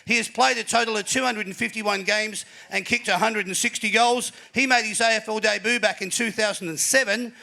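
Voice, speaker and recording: A high, gravelly Australian man hosts a live footy panel show in front of a crowd. He sounds bright and energetic, building up each introduction like an announcer, and he fills the gaps with plenty of ums. His voice sits high for a man. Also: a little fast, few pauses. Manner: energetic announcer, talks with many fillers. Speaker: host. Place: live venue before an audience.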